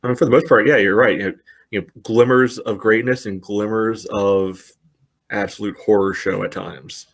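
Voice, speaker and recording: Lowish voiced guy on a sports pod, dry humor, runs through stats evenly. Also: steady tone, moderate pace. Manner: dry and wry, reels off stats steadily. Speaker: podcast co-host. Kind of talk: casual sports podcast analysis.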